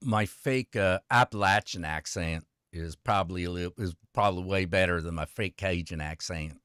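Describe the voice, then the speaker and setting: A man hosting a casual chat, rambling and lively, laughing easily. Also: deep voice, moderate pace, clean tone, no room sound, clean studio sound. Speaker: host.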